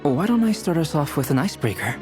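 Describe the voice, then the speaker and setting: A man voicing a calm, dry and understated young male alchemist and painter, in video game dialogue. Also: fairly high pitch, quick pace. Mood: deadpan. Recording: game audio with clear music behind.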